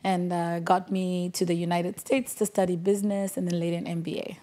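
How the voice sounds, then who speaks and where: A woman's voice: fairly low pitch, flat delivery, fairly quick, warm tone. A young adult woman from Zimbabwe, a guest in a small studio interview, telling her story in a composed way. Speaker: guest, clothing designer. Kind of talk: casual interview talk.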